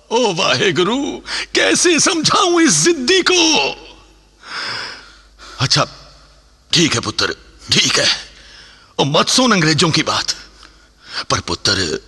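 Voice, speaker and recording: A man's voice: mid-range voice, bright, forceful tone, breathy edge, long reverb tail, heavily acted. An actor playing an emotional old Sikh father in a Hindi radio play, imploring and exclaiming with a Punjabi accent. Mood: desperate begging. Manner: imploring, exclamatory, repeats himself.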